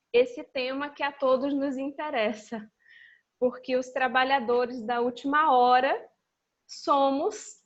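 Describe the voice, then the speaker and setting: A woman giving an informal religious study talk in a didactic, conversational way. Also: high voice. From Salvador, Bahia, Brazil. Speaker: guest speaker. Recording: dull lo-fi internet call audio.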